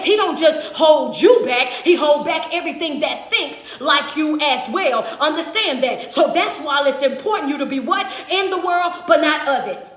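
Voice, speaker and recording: Woman motivational speaker, higher voice, emphatic preaching delivery, roomy echo. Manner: emphatic, repeats refrains like "understand that". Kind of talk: preaching-style motivational talk.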